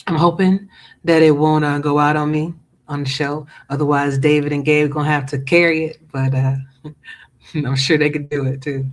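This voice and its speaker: Brief: man, show host, hoarse voice, upbeat and drawling. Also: fairly high pitch, level tone, normal speed. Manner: upbeat, drawling, many ums. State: losing his voice. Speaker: podcast host. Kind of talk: casual chat show hosting.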